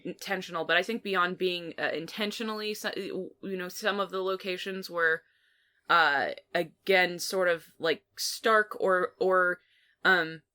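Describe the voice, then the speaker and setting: Woman, lower-pitched voice, bright forceful tone, informal podcast co-host, casual film chat. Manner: informal, hedging, mild swearing. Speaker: podcast co-host reviewing films.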